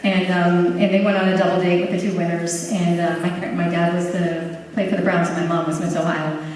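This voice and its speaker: A woman's voice: lowish voice, little pitch movement, fairly quick, darkish tone. A woman in her fifties on a conference panel, telling a chatty story full of "um"s. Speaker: panelist, company founder. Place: large conference hall. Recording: echoey hall from far off, faint noise.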